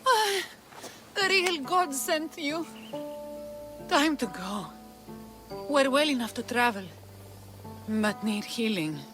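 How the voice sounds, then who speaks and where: A woman's voice: high-pitched, lively intonation, slow pace, pauses often, over music. A woman playing an earnest, imploring villager in distress, in a dramatic game cutscene. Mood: weary gratitude. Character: desperate, pleading plague-stricken villager woman.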